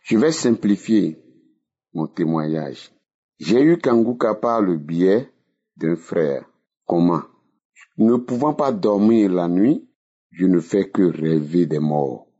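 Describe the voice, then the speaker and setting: Slow, husky man in his sixties. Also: low-pitched, lively intonation, warm tone, highs cut off. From Benin. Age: 60s. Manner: slow, emphatic, repeating phrases. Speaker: testimony caller. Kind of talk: spoken testimony.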